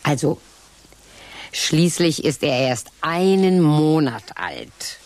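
A woman's voice: low voice, sing-song, slow pace, darkish tone, a little hiss or hum, exaggerated voice. A woman in a radio drama speaking in a dry, matter-of-fact way, later declaiming a strange incantation. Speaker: radio drama lead character.

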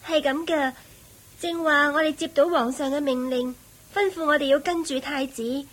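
An actress with a Hong Kong Cantonese accent playing an eager, obedient young palace maid in a radio drama, deferential in manner. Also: female voice, sits high, fairly even intonation, normal speed, fairly bright tone. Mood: dutiful.

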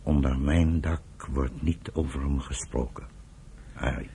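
An actor playing a stern, embittered elderly father and political leader in a radio drama, speaking gravely and deliberately. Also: extremely low pitch, fairly even intonation, mellow, a little hiss or hum. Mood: bitter, unforgiving. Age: older.

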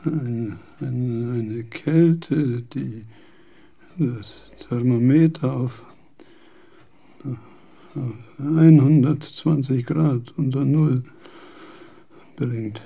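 An actor playing a frail philosopher of nearly eighty in a radio drama, halting and repeating words in a raspy, breathy voice. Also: male voice, mid-range voice, dark tone, full character voice. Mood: halting, absorbed. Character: frail, failing old philosopher, man near eighty.

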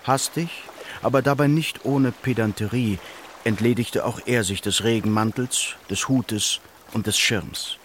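A man's voice: medium pitch, unhurried, fairly bright tone. A man narrating a literary radio play in a scripted storytelling style. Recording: clear ambient noise behind the voice.

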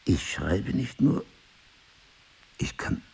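An actor playing a middle-aged amnesiac husband in an audio drama, husky and gravelly, probing and dryly self-mocking. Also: male voice, lowish voice, very animated, extremely slow, a little mellow, heavily acted. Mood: wryly self-impressed. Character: amnesiac husband, a crime writer, searching and wryly ironic. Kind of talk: intimate audio drama dialogue.

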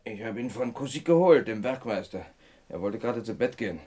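An actor playing a gruff, injured truck driver, an earthy, sardonic bachelor with slangy speech, in a vintage German radio crime play. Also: male voice, on the low side, lively intonation, brisk, warm tone. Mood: blunt, chatty.

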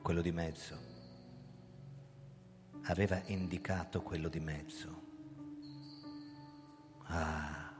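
Casting: desperate middle-aged cop on the run, deep-toned radio drama lead in a very reverberant hall. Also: male voice, flat delivery, slow pace, long pauses. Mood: hushed, grave. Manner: theatrical, swinging from hushed narration to agitated outbursts. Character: hunted middle-aged police chief, driven and desperate, narrating his story. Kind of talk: dramatic radio play narration and acting. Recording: long hall reverb, music underneath. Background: music.

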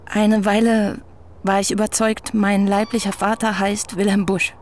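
A young woman writer, voiced by an actress, presses her questions politely but keeps at them. She speaks in a measured, courteous radio-play manner. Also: mid-range voice, little pitch movement, a little mellow, a little hiss or hum, lightly acted. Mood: earnest. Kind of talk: radio drama acting.

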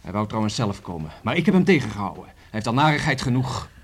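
An actor playing a reticent young seafarer in a Dutch radio drama, measured and hesitant yet quietly insistent. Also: male voice, on the low side, sing-song, husky. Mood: firm. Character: young seafarer, reticent, stubborn, guarded family envoy.

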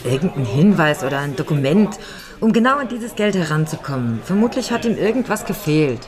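An actress playing a composed, shrewd amateur sleuth who reasons in a measured way in a German radio crime drama. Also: female voice, low-pitched, very animated. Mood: shrewd, pondering. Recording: music and crowd chatter behind.

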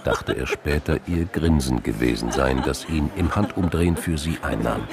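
A man with a gravelly voice narrating a scripted crime drama in a studio. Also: a bass voice, normal speed, a crowd in the background. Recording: music behind.

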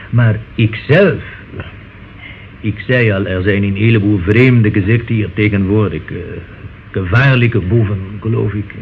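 An actor playing an evasive, nervous Italian market-stall landlord and informant in a radio play, speaking hesitantly. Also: male voice, lowish voice. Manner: hesitant, hedging. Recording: muffled, noisy old radio recording.

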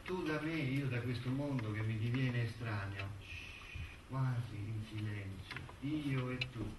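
A man acting an anguished poet, reciting dramatic verse in a slow, declamatory, impassioned way. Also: medium pitch, close to an everyday voice. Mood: tender, mournful. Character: anguished male poet reciting a farewell to a beloved. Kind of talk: theatrical dramatic poetry recitation. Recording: distant echoey stage with faint noise.

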